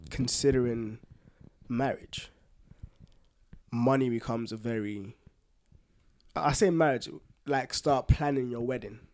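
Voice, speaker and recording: A man with a London accent and a medium-pitched voice chats as a podcast co-host. His delivery is informal and full of slang, like someone telling personal stories to friends. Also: slow pace, pauses often, a little mellow. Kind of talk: casual chat, personal anecdotes.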